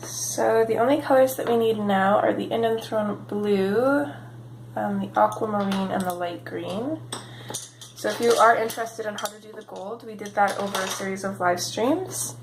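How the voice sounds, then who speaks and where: A sniffly woman with a cold chats her way through a coloring session, rambling and relaxed with plenty of ums. Her voice sits at an ordinary middle pitch. It is caught in an echoey home room with a faint hiss behind it. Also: moderate pace. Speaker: coloring channel host. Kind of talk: casual chatty coloring tutorial.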